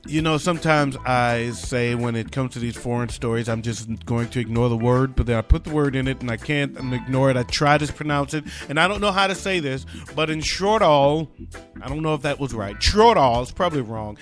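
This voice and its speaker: A man's voice: mid-range voice, a little fast, faint music behind. Chatty joking male podcast host. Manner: chatty and joking, many "uh" fillers. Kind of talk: casual solo news commentary.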